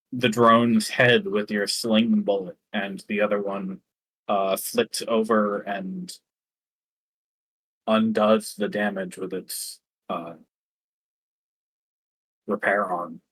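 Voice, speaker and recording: A game master with a slightly low, husky voice talks slowly and calmly through each ruling. He pauses often with ums. The recording is dry and close. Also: no room sound, poor audio. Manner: unhurried rulings with frequent ums. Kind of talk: running a tabletop game.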